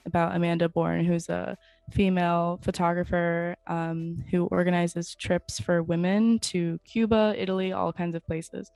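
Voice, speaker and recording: A young woman with a smooth, clear voice that sits a little low. She talks in a casual, reflective way, like a guest on a podcast, and the sound is dry with no room echo. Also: darkish tone. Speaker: interview guest, photographer and writer.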